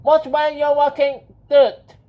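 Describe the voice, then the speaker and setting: A man voicing a tiny, cocky, bossy mouse in a children's audiobook, squeaky and exclaiming. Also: flat delivery, takes it slowly, muffled recording. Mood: indignant.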